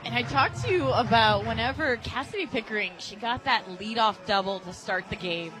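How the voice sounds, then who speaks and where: A woman with an American accent giving conversational, enthusiastic color commentary on a softball radio broadcast. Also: a little high, brisk, over music, poor audio. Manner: chatty, enthusiastic. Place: radio booth at a ballpark.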